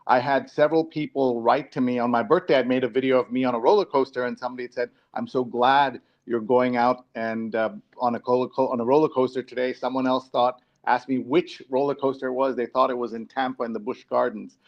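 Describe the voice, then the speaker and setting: A man's voice: mid-range voice, level tone, brisk, hardly any pauses. A man from New York hosting a live talk show in a casual, conversational presenting style. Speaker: show host. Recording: dull, lo-fi online stream audio.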